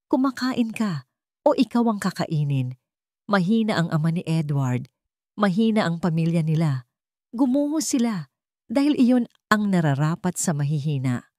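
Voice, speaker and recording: A woman's voice: fairly low pitch, big pitch swings, normal speed, a little mellow, dry recording, studio quality. A woman voicing a cold, ruthless older businessman father in a dramatic audio story, controlled and unrepentant.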